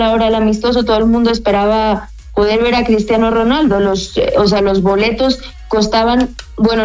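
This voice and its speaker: A chatty woman from Spain whose accent carries a Mexican tinge, her voice sitting fairly high. She talks freely, with fillers and restarts, like a sports journalist on a call-in, and faint music plays underneath. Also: darkish tone, low-quality recording. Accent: Spanish with a Mexican tinge. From Spain (Gijón). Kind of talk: casual phone-in chat.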